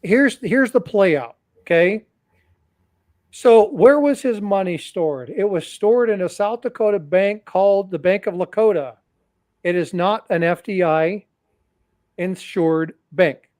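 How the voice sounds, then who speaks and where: High-voiced man warning his viewers. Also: measured pace, a little mellow, no echo, studio quality. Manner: cautionary, addresses audience directly, repeats phrases. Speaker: host. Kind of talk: livestream commentary.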